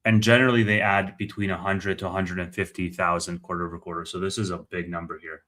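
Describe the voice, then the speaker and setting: Bright-voiced man, casual live commentary. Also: low-pitched, level tone, quick pace. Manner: conversational, thinking aloud while reading figures. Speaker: live stream host.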